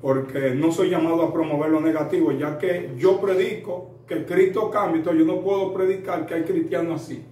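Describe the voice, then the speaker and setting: A Dominican man in his thirties with a somewhat high voice, answering as a preacher in an earnest, rambling way full of fillers. He sounds set back from the mic in a roomy space with a faint hiss behind him. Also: steady tone, normal speed, hardly any pauses, warm tone. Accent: Dominican Spanish. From Dominican Republic. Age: 30s. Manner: earnest, addresses listener as usted, frequent fillers. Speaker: preacher guest. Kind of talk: storytelling interview answers. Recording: roomy echo, distant mic, faint noise.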